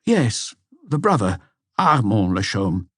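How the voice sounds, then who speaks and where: A man's voice: lowish voice, big pitch swings, slow pace, a bit bright, husky, no room sound, clean studio sound, full character voice. A man voicing a hesitant, deferential police inspector giving a report in an audiobook. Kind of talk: audiobook character dialogue.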